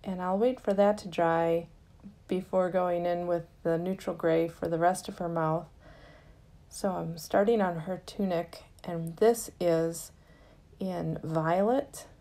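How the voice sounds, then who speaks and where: A woman with a slightly low, soft-edged and mellow voice hosts a craft tutorial. She talks at a slow, unhurried pace and explains each step gently in casual, everyday narration. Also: steady tone.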